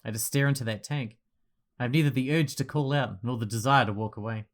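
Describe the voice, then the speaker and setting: A man hosting a gaming video, reading a visual novel's text aloud with dramatic delivery and breaking off into quiet joking asides. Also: medium pitch, quick pace. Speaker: gaming channel host. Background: loud game music.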